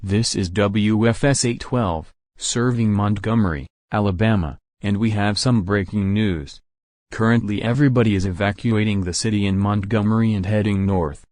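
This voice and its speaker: A man's voice: lowish voice, moderate pace, a little mellow, no room sound, lightly acted. A man playing a composed, businesslike local news anchor delivering scripted breaking news.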